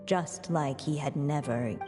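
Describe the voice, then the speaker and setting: A woman's voice: deep voice, measured pace. A woman narrating a fairy tale in a video game as a solemn, soothing storyteller. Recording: long reverb, soft music.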